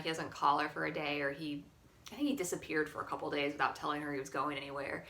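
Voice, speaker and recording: A woman's voice: deep voice, pushed and bright. A young American woman chatting casually as a book vlogger, blunt and emphatic, with opinions and casual swearing. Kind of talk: casual chat, monthly reading wrap-up. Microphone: phone camera.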